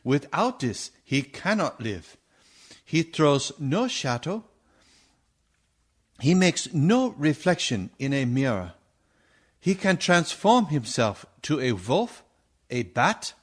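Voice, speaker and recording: An actor playing a grave, commanding older professor and vampire hunter in a theatrical radio play, with solemn, oratorical delivery and stilted phrasing. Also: male voice, on the high side, sing-song, slow, long pauses, slightly rough, exaggerated voice. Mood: grave, warning. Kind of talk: theatrical radio drama acting.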